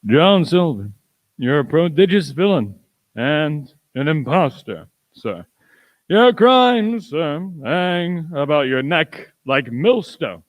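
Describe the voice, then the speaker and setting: A man playing a pompous, indignant gentleman squire in a radio play, grand and condemning. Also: fairly high pitch, very animated, takes it slowly, dry recording.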